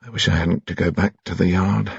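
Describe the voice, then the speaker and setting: A man's voice: deep voice, steady tone, brisk, creaky, husky, no echo, highs cut off, exaggerated voice. A man voicing a reserved, dry-humored male detective inspector. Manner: dry, exasperated.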